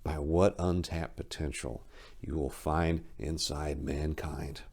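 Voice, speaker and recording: A man voicing an ancient freed angel, serene, knowing and courteous, in a calm, formal storybook reading. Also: very deep voice, slow pace, breathy edge, exaggerated voice. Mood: calm, instructive.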